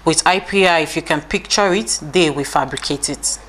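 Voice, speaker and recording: A woman narrating a scripted corporate feature in a smooth promotional read. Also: deep voice, moderate pace, a bit bright.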